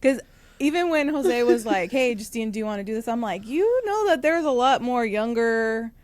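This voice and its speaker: A woman's voice: fairly high pitch, moderate pace, no room sound. Dry-sounding woman podcast host. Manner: relaxed, frequent affirming murmurs, candid. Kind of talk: casual podcast interview.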